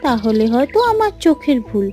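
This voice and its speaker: Woman acting clever bossy cartoon fairy, high-pitched, mellow tone, theatrical fairy-tale delivery. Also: very animated, fairly quick, faint music behind. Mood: feigned concern, stern. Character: clever female fairy, helpful schemer, teasing and bossy.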